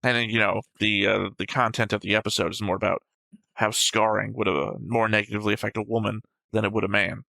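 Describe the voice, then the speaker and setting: A man's voice: fairly low pitch, flat delivery, fast, vocal fry, sharp tone, dry recording. A man co-hosting an anime reaction show, talking through episode notes casually and bluntly, with some swearing.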